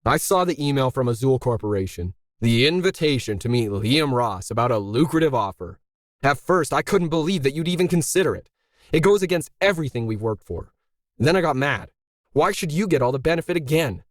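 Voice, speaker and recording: A man's voice: mid-range voice, expressive, a little fast, no room sound, clean studio sound, natural voice. A man voicing a bitter middle-aged male artist in a story, indignant, pressing and sarcastic. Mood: bitter, aggrieved.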